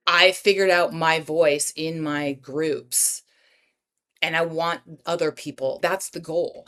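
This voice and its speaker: A woman's voice: low voice, a bit bright. A woman, a breathwork practitioner and writer, talking as a podcast guest in a passionate, rambling way full of asides and the odd swear word. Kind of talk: podcast interview talk.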